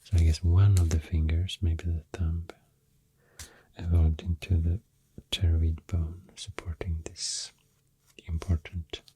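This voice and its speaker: A man with a Swedish accent softly rambling through an ASMR explanation, breathy and often near a whisper, soothing and hesitant in manner. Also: sits low, level tone, takes it slowly, a little hiss or hum. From Sweden. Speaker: ASMR host.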